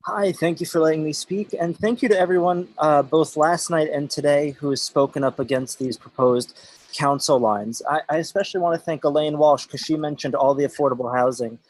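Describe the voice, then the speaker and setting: A man with a fairly high voice opens his public comment at a community meeting, polite and earnest. The sound is dry and close. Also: brisk, darkish tone, dry recording, low-quality recording.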